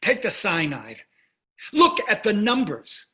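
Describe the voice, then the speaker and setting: Casting: actor as cynical, self-satisfied wealthy husband in a stage drama, brushing things off with dismissive sarcasm. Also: male voice, sits high, very animated, takes it slowly, bright, forceful tone, full character voice. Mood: hard, defiant. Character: wealthy, cynical, callous, golf-loving husband. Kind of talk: staged play reading, marital argument.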